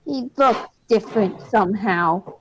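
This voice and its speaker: A woman's voice: mid-range voice, a little slow, a little hiss or hum, lightly acted, low-quality recording. A woman voicing a bratty, stubborn little boy in a radio play, whiny and demanding. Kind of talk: hobby radio play acting.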